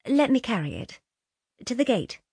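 Audiobook role acted by a woman: affected young wife, breathless and gushing, very animated pitch, dry close sound. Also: moderate pace, gravelly, breathy edge, no room sound, full character voice. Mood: pleading. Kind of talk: audiobook character dialogue.

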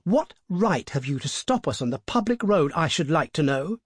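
A man voicing a bold, sharp-tongued young actress in an audiobook, speaking briskly and cheekily. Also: on the high side, expressive, breathy edge, no room sound, clean studio sound, voice pushed up. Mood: defiant. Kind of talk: audiobook character voice.

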